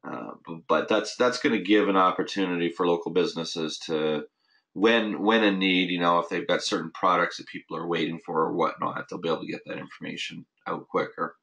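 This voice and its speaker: A man's voice: low-pitched, steady tone, a little fast, vocal fry, fairly bright tone, poor audio. A man hosting a weekly marketing update, speaking off the cuff with frequent restarts and fillers on a recorded member call. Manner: casual, many restarts and "you know" fillers. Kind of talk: unscripted webinar talk.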